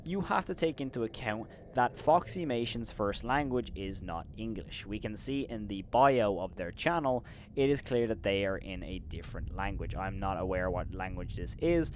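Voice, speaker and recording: Opinionated low-voiced male commentator. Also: normal speed, a little hiss or hum. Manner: opinionated, repeats "of course" and "in my opinion"; gets loud and incredulous when ranting. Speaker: host and reviewer.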